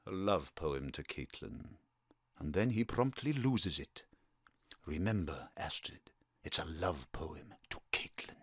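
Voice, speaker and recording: A man playing a mysterious, teasing dream voice in an audio drama, theatrical, moving between breathy murmurs and loud calls. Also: medium pitch, no echo, clean studio sound, exaggerated voice. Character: mysterious male dream voice prodding a sleeping sleuth.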